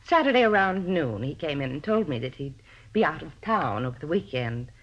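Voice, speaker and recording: Low-voiced, theatrical older landlady. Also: very animated, fairly quick, heavily acted. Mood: chatty. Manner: theatrical, self-important, grudging. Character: talkative, vain older landlady, former screen actress. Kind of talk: 1950s radio drama acting. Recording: muffled old-time radio recording.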